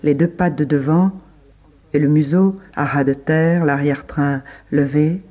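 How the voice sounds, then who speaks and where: A man reciting literary prose for a radio play, measured, pausing between short phrases. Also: on the high side, fairly even intonation, dark tone. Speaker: reader.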